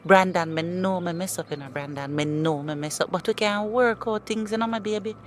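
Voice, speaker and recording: A woman's voice: lowish voice, a little fast, a little hiss or hum, natural voice. An actress playing a desperate young woman begging her ex-lover to take her back, in a comedy skit. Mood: pleading. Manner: pleading, tearful begging.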